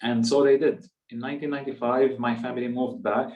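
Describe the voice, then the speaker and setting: A man in his thirties with a Palestinian accent, a native Arabic speaker, telling a personal story in a measured, halting way. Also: mid-range voice, steady tone, narrow, tinny audio. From West Bank, Palestinian territories. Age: 30s. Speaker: guest speaker. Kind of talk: personal storytelling in a public talk. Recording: echoey room, distant microphone.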